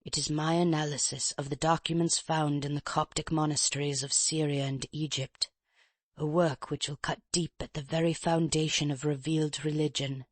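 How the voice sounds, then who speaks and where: Audiobook casting: reader doing a frail, mincing, self-pitying old scholar, muted, low, dry and close. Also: little pitch movement, normal speed, no room sound, natural voice. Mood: fretful. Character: frail old male professor, chain-smoking invalid scholar. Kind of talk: audiobook character reading.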